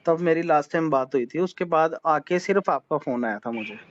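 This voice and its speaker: A man's voice: fairly high pitch, a little fast, slight background noise. A man on a phone call, asking questions in a polite, deferential way. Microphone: telephone. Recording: dull lo-fi recorded phone call.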